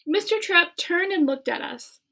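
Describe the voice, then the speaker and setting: A woman reading a children's book aloud in an expressive way, with casual chat to her listeners. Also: very high-pitched, normal speed.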